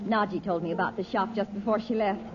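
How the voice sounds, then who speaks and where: A woman playing a gentle, polite, composed mother in an old-time radio serial. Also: mid-range voice, steady tone, quick pace, lightly acted. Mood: pleasant. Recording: muffled noisy old radio recording.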